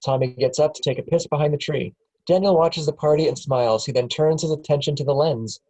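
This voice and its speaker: Table-read narrator, male, mellow and plain. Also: a little high, fairly quick, rough recording. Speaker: narrator reading stage directions.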